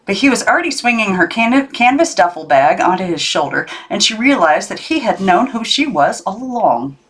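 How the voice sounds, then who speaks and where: A woman reading a children's novel aloud as narrator, in an expressive storytelling style. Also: mid-range voice, normal speed, breathy edge. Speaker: audiobook narrator.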